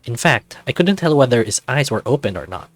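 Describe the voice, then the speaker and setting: A man's voice: mid-range voice, big pitch swings, a little fast, no room sound. A man reading visual novel narration and game text aloud.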